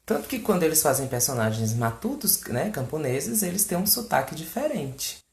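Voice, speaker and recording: A man with a Brazilian Portuguese accent, host of a fan page, answering fan questions in casual chat to camera, animated and exasperated with mocking asides. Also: on the high side, moderate pace, vocal fry. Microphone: phone recording. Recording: echoey room.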